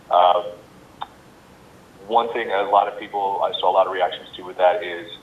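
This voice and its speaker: Low-voiced man on echoey, noisy phone. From New Jersey area. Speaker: wrestling reporter asking a question. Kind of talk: casual press-call questioning. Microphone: telephone. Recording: echoey, noisy, dull phone line with rumble.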